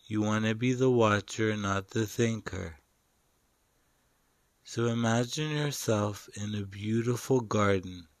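A man guiding a meditation in short, deliberate phrases with long pauses between them. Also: fairly low pitch, no room sound. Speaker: meditation guide. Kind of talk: guided meditation narration.

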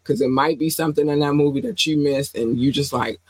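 A woman co-hosting a casual podcast, talking in an animated, playful way, with slang and phrases she repeats for effect. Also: deep voice, brisk, slightly rough, no echo. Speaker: podcast co-host.

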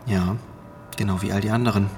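An actor in a German audio drama playing a grave, guilt-ridden middle-aged man who tells his story in halting, ominous phrases. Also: on the low side, fairly even intonation, a little mellow, a little hiss or hum, close to an everyday voice. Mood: regretful, grave.

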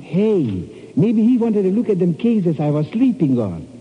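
An actor playing an excitable, hapless comic sidekick who stammers and complains in 1950s radio style. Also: male voice, sits high, unhurried, narrow, tinny audio. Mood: curious, nervous. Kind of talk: 1950s radio comedy-drama acting. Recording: thin, noisy old radio recording.

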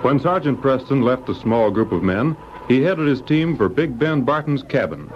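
A man's voice: medium pitch, expressive, normal speed. A man narrating an adventure serial as a 1940s radio announcer, formal and dramatic. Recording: dull, noisy vintage radio recording.

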